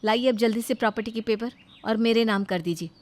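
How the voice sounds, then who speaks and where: Insistent young daughter-in-law played by a woman, high voice. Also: fairly quick, natural voice. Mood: demanding. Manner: protesting, demanding.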